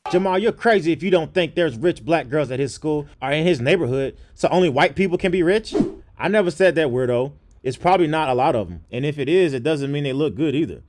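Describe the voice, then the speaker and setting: A man acts out an indignant social media commenter in a mocking comedy reading. The voice sits fairly high, and the delivery is exaggerated and sassy. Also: lively intonation, brisk, a little mellow, natural voice. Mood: scolding.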